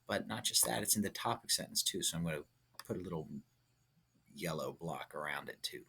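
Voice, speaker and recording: A man's voice: low voice, expressive, a little fast, creaky, bright, forceful tone, slightly rough. A man teaching a lesson, thinking aloud and coaching step by step with short check-in questions. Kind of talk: instructional lesson, thinking aloud through a writing example.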